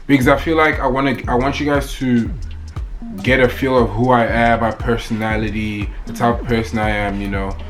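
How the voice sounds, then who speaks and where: A man's voice: medium pitch, little pitch movement, normal speed, faint music behind. A young man with a South African accent, talking casually and rambling a little in a one-take vlog. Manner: chatty, rambling, loose and playful. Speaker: vlogger.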